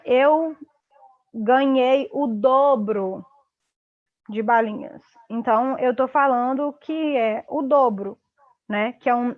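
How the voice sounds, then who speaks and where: A woman's voice: sits high, no room sound. Chatty Brazilian woman teaching online. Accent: Brazilian Portuguese. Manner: chatty, explains simply with many fillers. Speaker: online tutor. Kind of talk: live online lesson. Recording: dull lo-fi live stream audio.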